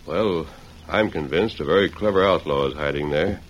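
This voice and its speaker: An actor playing a calm, authoritative masked Western hero with an American accent and measured, formal delivery, in old radio drama style. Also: male voice, extremely low pitch. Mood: measured, confident. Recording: dull, husky-sounding vintage radio recording.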